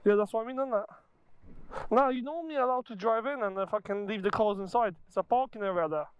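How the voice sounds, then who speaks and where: A man's voice: high-pitched, fast, smooth, dull audio. A native Polish man chatting casually and swearing freely with his riding mates over a helmet mic outdoors. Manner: sweary, informal banter. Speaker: motorcycle vlogger. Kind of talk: casual chat with riding companions. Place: outdoors on a motorcycle ride. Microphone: helmet-mounted microphone. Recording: noisy helmet intercom outdoors.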